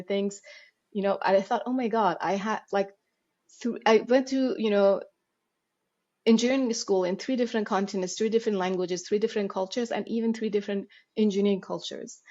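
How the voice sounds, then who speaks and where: An animated woman, a Persian speaker, telling her story as a podcast guest and laughing at herself along the way. Her voice sits at a medium pitch. The audio is a little dull and muffled. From Iran. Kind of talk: podcast interview.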